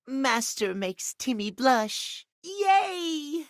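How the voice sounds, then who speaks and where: A man's voice: very high voice, very animated, drawn-out words, no echo, clean studio sound, full character voice. A man voicing a cute, needy, childlike cat creature in a video game, with babyish, whiny, pouty delivery. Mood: adoring, bashful. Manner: babyish third-person cutesy talk, whiny. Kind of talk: video game character voice acting.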